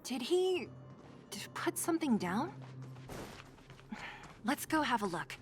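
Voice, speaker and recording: A woman's voice: high voice, very animated, slow, full character voice. A woman voicing a curious, cautious young heroine in a video game, thinking aloud in a hesitant manner. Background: music.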